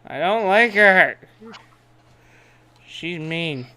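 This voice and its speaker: A man's voice: sits high, animated, drawn-out words, sharp tone. A man co-hosting a podcast, bantering casually in a blunt, sweary way. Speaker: podcast co-host.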